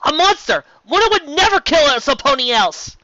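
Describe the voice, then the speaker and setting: A man voicing a hot-headed young female pegasus pony who protests loudly and emphatically in a story reading. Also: very high voice, sing-song, moderate pace, no room sound, lo-fi sound, voice pushed up, heavily acted. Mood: defensive, shouting.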